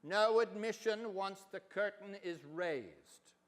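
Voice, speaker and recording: A man's voice: high voice, takes it slowly. A man voicing a stern, theatrical professor of about sixty in a grand, declamatory manner during a stage reading. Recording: reverberant hall sound.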